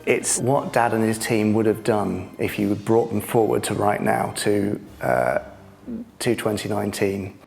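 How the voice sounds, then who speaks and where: A man explaining the series in a measured way in an interview. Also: fairly low pitch, creaky, darkish tone, soft background music. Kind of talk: casual interview.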